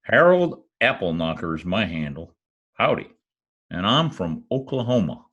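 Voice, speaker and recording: Man doing a shy country cowboy, folksy, naive, highly animated intonation, radio play. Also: a little slow, slightly rough, dull audio, heavily acted. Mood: bashful. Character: bashful, folksy Oklahoma cowboy with oil money. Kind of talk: old-time radio comedy-drama acting.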